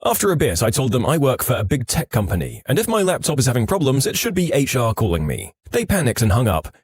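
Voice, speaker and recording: A man reading online stories as a scripted podcast narration, with an animated storytelling delivery, close on the microphone. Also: medium pitch, husky, no echo.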